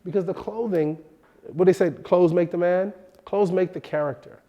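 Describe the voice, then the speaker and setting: A man in his thirties hosting a film production lesson in a studio, animated and expressive, sounding a bit nasal from a cold. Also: high-pitched, normal speed, lo-fi sound. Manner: animated, expressive, gestures with hands. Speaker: host. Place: indoor studio set.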